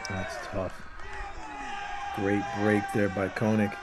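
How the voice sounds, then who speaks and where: A man calling a college tennis match live, calm in his analysis and lively when a big shot is played. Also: lowish voice, slow, over a noisy crowd, heavy background noise. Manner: excited bursts on big shots, calm analysis between points. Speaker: tennis commentator. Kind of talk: live sports commentary. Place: outdoor tennis venue.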